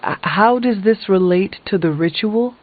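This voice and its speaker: A woman's voice: medium pitch, unhurried, close to an everyday voice. Woman playing a terse, sardonic game heroine. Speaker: video game character actor. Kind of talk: video game dialogue. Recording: thin phone-like band, music behind.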